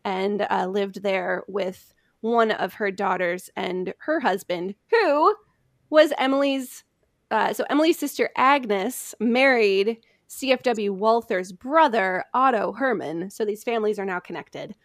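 A woman's voice: mid-range voice, unhurried, no echo. American woman telling history, close dry sound. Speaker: host telling a history story. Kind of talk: conversational storytelling from notes.

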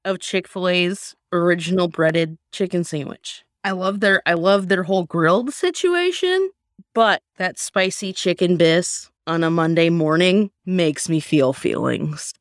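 A woman's voice: lowish voice, unhurried, dry recording, studio quality. A woman co-hosting a podcast in casual chat, laughing and reacting. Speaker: podcast co-host.

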